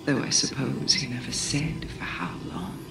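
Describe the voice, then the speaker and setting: A woman playing an imperious, wary queen who leads a coven, speaking formally and gravely in a video game cutscene. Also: extremely low pitch, fairly even intonation, moderate pace, heavily acted. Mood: grave, distrustful. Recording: echoing, distant voice under music. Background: music.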